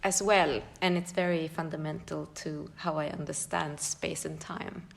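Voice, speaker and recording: A woman with a Swedish accent, speaking a little low for her sex in a relaxed podcast chat. She thinks aloud, hunts for words and laughs often. She is recorded in a large room. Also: normal speed, gravelly. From Sweden. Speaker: guest choreographer and dance teacher. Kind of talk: casual podcast conversation.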